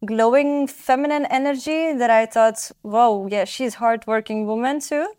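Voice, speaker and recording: High, clear-voiced woman hosting a podcast. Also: measured pace, a little mellow, no room sound, studio quality. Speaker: podcast host. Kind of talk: casual conversational interview.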